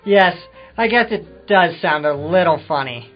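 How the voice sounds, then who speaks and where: An evasive, touchy ex-convict, played by a man talks in the manner of old-time crime radio. He is hesitant and defensive, pitched fairly high for a man, with music behind a slightly dull recording. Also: animated, a little slow. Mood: awkward, evasive. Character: evasive, touchy male ex-convict confessing to a detective. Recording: muffled amateur radio-drama recording with music.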